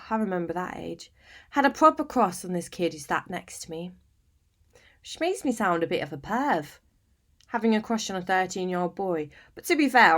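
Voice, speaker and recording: A woman's voice: medium pitch, lightly acted. A woman with a British accent acting a conversational monologue as a lonely, eccentric, wryly matter-of-fact woman, chatty and self-deprecating. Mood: wistful, wry. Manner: chatty, colloquial, self-deprecating, tag questions. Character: lonely, sleep-deprived, eccentric woman, wryly matter-of-fact about her bleak life. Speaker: monologue performer. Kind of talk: confessional dramatic monologue, conversational.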